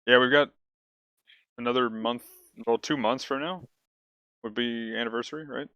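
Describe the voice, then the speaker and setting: A man's voice: medium pitch, fairly even intonation, normal speed, no room sound, lo-fi sound. A man chatting casually as a co-host on a gaming podcast.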